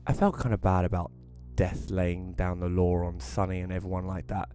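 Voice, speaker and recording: Audio drama narrator, conversational and wry storyteller, lowish voice male performer, light music underneath. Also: monotone, moderate pace, dark tone, close to an everyday voice. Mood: wry, matter-of-fact. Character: Rory, casual storyteller befriending a ghost. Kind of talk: scripted first-person narration.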